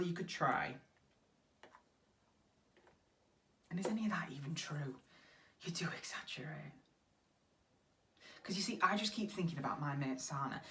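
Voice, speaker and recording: An actor playing a blunt, talkative young British flatmate in a colloquial, animated monologue. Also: medium pitch, a little hiss or hum, lightly acted. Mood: pressing, anxious. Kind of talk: naturalistic monologue acting. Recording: distant microphone.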